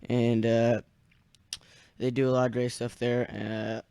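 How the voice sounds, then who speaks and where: Young male radio net host, mellow but smooth, halting casual delivery, dry close sound. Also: mid-range voice, level tone, no room sound. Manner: halting, searching for words. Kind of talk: casual radio check-in chat. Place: small indoor radio room.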